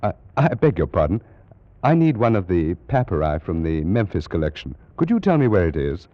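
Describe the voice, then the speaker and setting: An actor playing a scholarly British Egyptologist, formally recounting an eerie adventure in a 1940s radio drama. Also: male voice, low voice, very animated, a little fast. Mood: polite, curious. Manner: formal, precise, dramatic storytelling. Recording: muffled, noisy vintage radio recording.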